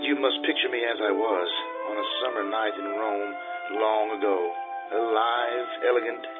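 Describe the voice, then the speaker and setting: Tinny old-radio actor as vain aging Casanova. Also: male voice, on the low side, steady tone, moderate pace. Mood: boastful seductive. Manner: florid, theatrical, self-admiring. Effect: old-time radio filter. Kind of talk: old-time radio drama acting. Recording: tinny vintage radio sound with music.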